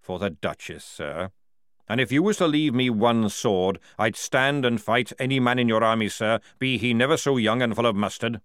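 A man voicing a fierce, loyal old male army sergeant who barks his words in a forceful parade-ground manner. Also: mid-range voice, normal speed, clear, resonant tone, dry recording, clean studio sound, natural voice. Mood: roaring, defiant.

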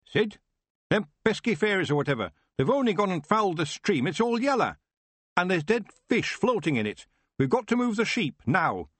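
A man voicing a desperate rural farmer, calling loudly. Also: high-pitched, very animated, moderate pace, no room sound, pitched above the performer's own voice, full character voice.

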